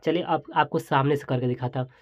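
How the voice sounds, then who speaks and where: A man's voice: a little high, steady tone, fast, no echo, studio quality. A man hosting a casual hands-on product showcase, chatty and exclamatory, often repeating his phrases as he demonstrates. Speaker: toy and gadget review host.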